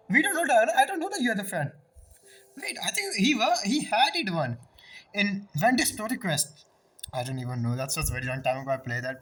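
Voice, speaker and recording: Mid-pitched streamer with non-native English accent. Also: a bit bright. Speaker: game streamer. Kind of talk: casual gameplay commentary, reading dialogue aloud. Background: background game music.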